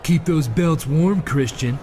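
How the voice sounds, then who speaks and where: A sarcastic wrestling villain for a video game. His voice is a little high, with a smug, resentful edge. Clear ambient noise is heard behind him. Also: takes it slowly, dark tone. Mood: resentful sarcasm. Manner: pointed, smug, mocking. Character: sarcastic, resentful, cocky scheming man wrestler. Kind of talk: scripted video game cutscene acting.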